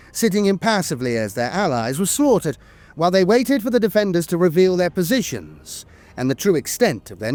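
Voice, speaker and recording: A man's voice: high voice, darkish tone, dry recording. A man reading scripted war fiction as a lore narrator, with a dramatic storytelling delivery.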